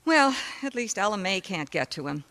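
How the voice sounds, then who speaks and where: A worried female hotel guest in a radio mystery. Her bright voice rises and falls widely as she questions and doubts. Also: mid-range voice, very animated, normal speed, poor audio. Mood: concerned. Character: anxious, skeptical well-mannered female hotel guest.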